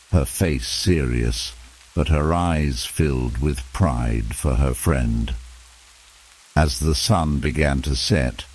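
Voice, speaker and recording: Really deep voiced guy reading a fantasy bedtime story, slow and measured. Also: a little mellow. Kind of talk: scripted bedtime fantasy narration.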